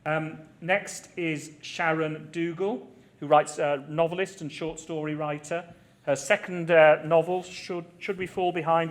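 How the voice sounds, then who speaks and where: A man chairing a literary panel, introducing the speakers to an audience. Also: on the high side.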